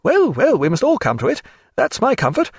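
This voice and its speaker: A man's voice: high voice, huge pitch swings, fairly quick, husky, dry recording, clean studio sound, pitched above the performer's own voice, exaggerated voice. A man voicing a coarse, gin-drinking old Englishwoman in an audiobook, blunt, garrulous and theatrical. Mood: bawdy, garrulous. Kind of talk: audiobook character voice.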